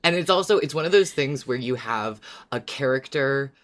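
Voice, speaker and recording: The voice sits in a middle pitch and could belong to any sex. It is a podcast co-host, informal and unguarded, going over a favourite show for fellow fans. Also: animated, normal speed, fairly bright tone. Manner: informal, sweary, often laughing. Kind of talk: scripted recap, then casual fan discussion.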